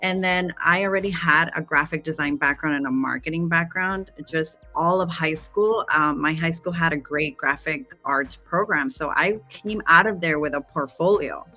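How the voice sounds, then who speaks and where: A woman's voice: sits low, moderate pace, few pauses, faint music behind. A woman in her forties from Guatemala, raised in Los Angeles, telling a story as a guest and animatedly acting out the dialogue. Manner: animated, acts out quoted dialogue. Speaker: marketing guest. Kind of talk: casual storytelling interview.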